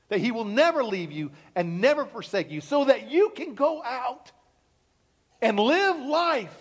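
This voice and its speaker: Impassioned pastor preaching in a reverberant hall. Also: male voice, fairly bright tone, husky. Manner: impassioned preaching, climbing in pitch and volume. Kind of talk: sermon. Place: church sanctuary.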